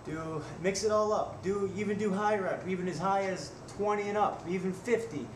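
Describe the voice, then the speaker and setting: A man's voice: high voice, moderate pace. A young man in his twenties talking casually and bluntly through a home workout vlog, swearing now and then. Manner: blunt, swears, strains and grunts through reps. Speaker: fitness vlogger. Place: small room at home. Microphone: webcam at desk distance. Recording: echoey room, noisy webcam audio.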